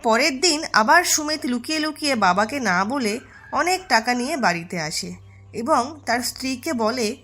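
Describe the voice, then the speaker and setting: A woman's voice: a little high, animated, moderate pace, bright, forceful tone, soft background music. A woman narrating a scripted cartoon storybook tale.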